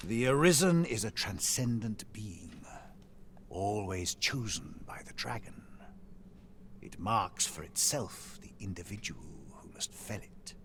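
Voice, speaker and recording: An actor playing a weary, solemn former hero in a fantasy video game, with grave, archaic, measured delivery. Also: male voice, on the low side, expressive, a bit bright, a little hiss or hum. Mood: grave, resigned. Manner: grave, archaic, measured storytelling with sighing line endings. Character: weary, solemn former hero, husk of a fallen chosen one.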